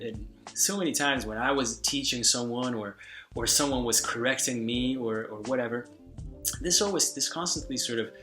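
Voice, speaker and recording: A man whose first language is Hungarian, giving casual spoken advice on language learning, with a relaxed, rambling delivery full of fillers and restarts. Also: mid-range voice.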